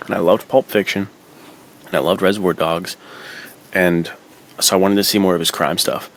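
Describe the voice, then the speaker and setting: A man's voice: sits low, fairly even intonation, normal speed, a little hiss or hum. A man co-hosting a podcast, chatting casually about films in slangy, buddy-like talk full of "dude" and "like". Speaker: podcast co-host. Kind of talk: casual film chat.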